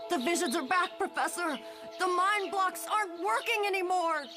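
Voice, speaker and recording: An actress playing a terrified young psychic tormented by visions, crying out frantically in a motion comic. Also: female voice, very high voice, moderate pace. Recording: loud music behind.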